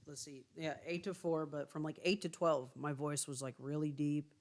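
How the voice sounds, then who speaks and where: A man with a light, higher voice that callers sometimes take for a woman's, rambling through casual stories. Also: moderate pace. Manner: rambling, relaxed storytelling. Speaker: stream co-host. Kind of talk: casual chat.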